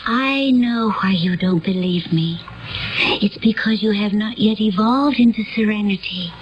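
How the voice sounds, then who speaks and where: An actress playing a gentle, naive alien woman from a utopian world, earnest and solemn in a dramatic radio play style. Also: medium pitch, background noise, lightly acted. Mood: earnest, calm. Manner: earnest, dreamy, solemn, deliberate. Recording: dull old radio recording with music behind.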